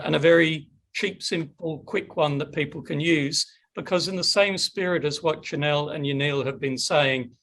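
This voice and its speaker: A man's voice: a little high, creaky, fairly bright tone. A middle-aged man hosting a webinar Q&A on a video call, chatty and upbeat. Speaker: webinar host. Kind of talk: casual webinar Q&A.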